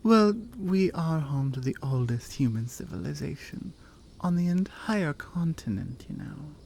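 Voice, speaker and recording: A woman's voice: deep voice, lively intonation, gravelly, dark tone, slight background noise, exaggerated voice. A woman playing a strong, teasing Nordic warrior in an ASMR roleplay, with a Nordic accent and a dry, playful, skeptical manner. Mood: proud, earnest. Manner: playful, dry, conversational with pauses. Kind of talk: ASMR roleplay audio drama.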